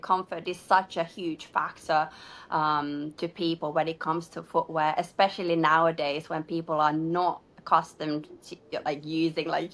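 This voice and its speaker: Chatty woman, lower voice. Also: a bit bright. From Finland. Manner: chatty, many "like" fillers, laughs between phrases. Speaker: shoe designer, guest. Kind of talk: casual interview answers.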